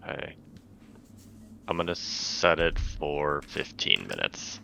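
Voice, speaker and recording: A man's voice: extremely low pitch, big pitch swings, takes it slowly, gravelly, bright, forceful tone, slight background noise. A man chatting casually as a player in a tabletop roleplaying game.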